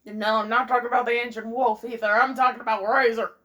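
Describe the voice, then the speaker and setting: A woman's voice: on the high side, flat delivery, fast talker, a bit bright, lo-fi sound. A woman giving casual, off-the-cuff commentary as she plays a video game. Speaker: gamer, host of a let's play.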